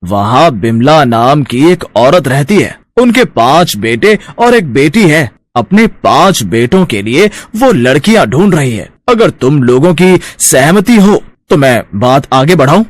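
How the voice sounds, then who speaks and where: A man's voice: fairly high pitch, very animated, a little slow, few pauses, dry recording, clean studio sound. A man voicing a kindly, fatherly village headman in a storybook tale, reassuring in manner.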